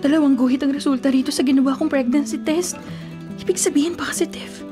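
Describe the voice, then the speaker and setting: An actress playing a timid, hesitant and apologetic younger sister in a radio drama. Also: female voice, high voice, fast, over loud music, close to an everyday voice. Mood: nervous, evasive. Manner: hesitant, pleading. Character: timid, apologetic younger sister, a secretly pregnant shop worker.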